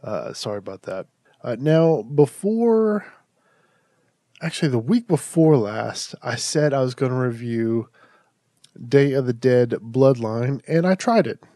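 Stuffed-up, mellow-voiced podcast host. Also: male voice, medium pitch, animated, unhurried, no room sound. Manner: chatty, rambling with restarts and fillers. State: stuffed up, slightly nasal from allergies. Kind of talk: casual horror movie review.

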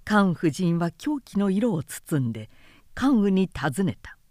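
Slow, expressive woman narrator. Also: lowish voice, no echo, clean studio sound. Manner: measured, expressive storytelling. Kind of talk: scripted literary narration.

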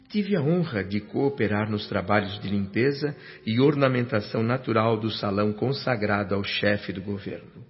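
Radio drama narrator: earnest male storyteller, literary and reverent delivery, slightly muffled recording with music underneath. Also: fairly low pitch. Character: male doctor spirit recounting afterlife, reverent, earnest.

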